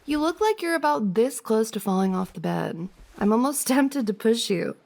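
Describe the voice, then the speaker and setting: A woman's voice: on the high side, big pitch swings, moderate pace, gravelly. A woman playing a playful, teasing young woman, a best friend's older sister, in a giggly, casual ASMR roleplay. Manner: giggly, teasing banter with frequent soft laughs.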